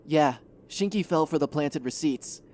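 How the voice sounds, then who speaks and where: A man's voice: fairly high pitch, a little slow, darkish tone, lightly acted. A man playing a guarded, conflicted teenage son in an anime fan audio drama. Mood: subdued, unsure.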